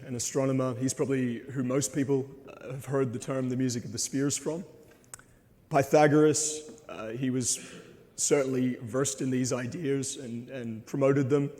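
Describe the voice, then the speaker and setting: Slightly hoarse man, earnest and halting, with a long hall reverb around his voice. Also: mid-range voice, a little mellow. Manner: hesitant, frequent ums, earnest. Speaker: conference speaker. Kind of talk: presentation talk with slides. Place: conference hall.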